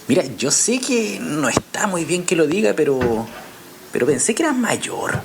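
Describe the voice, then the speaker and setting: A man's voice: on the high side, very animated, a little slow, creaky, full character voice. Amiable awkward newsman, self-correcting, apologetic, naturalistic audio drama, faint noise. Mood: flustered, apologetic. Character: friendly, awkward male journalist who writes crime novels.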